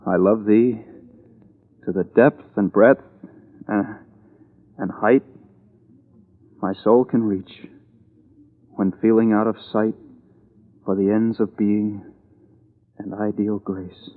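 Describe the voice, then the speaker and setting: An actor playing a proud, anguished husband with an intense, pleading manner, in the style of a 1940s radio thriller. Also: male voice, on the low side. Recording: tinny old radio recording, music behind.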